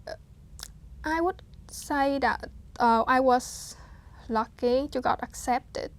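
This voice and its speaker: Woman, halting speech. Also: high voice. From Vietnam. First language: Vietnamese. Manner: hesitant, many fillers and restarts, laughs softly. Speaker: interview guest. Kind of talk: casual interview talk.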